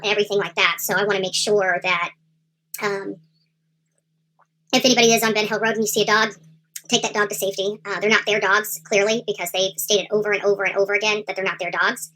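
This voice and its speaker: A woman hosting a livestream in a casual, rambling commentary style with halting delivery. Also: mid-range voice, fairly even intonation, sharp tone, a little hiss or hum, poor audio. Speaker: livestream host. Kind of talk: casual livestream chat, true crime commentary.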